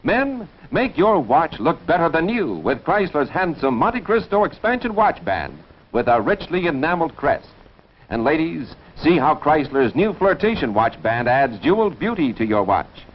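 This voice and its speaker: A man delivering a polished, emphatic 1950s advertising pitch as a commercial announcer. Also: very animated, normal speed. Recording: dull, faintly noisy vintage soundtrack.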